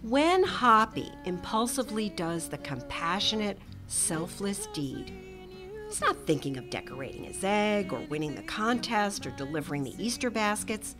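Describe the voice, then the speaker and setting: A middle-aged woman reading a children's picture book aloud with gentle, expressive storytelling and soft whispered asides. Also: medium pitch, normal speed. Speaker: storytime reader. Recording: music playing under the voice.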